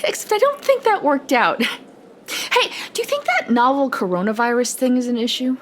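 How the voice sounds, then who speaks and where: An actress playing a giddy, anxious, sarcastic young Chinese American woman in a comedic audio play, with an American accent and an excitable, slangy manner. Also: high-pitched, normal speed, a little hiss or hum. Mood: giddy, teasing. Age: young adult. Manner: excitable, nervous giggling, slangy.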